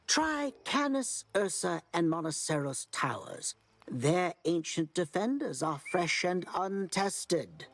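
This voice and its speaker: A woman's voice: lowish voice, sing-song, takes it slowly, full character voice. A woman playing an impatient, curious scholar in a video game, speaking formally and theatrically. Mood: matter-of-fact, eager. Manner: theatrical, exasperated, formal wording. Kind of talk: video game character acting.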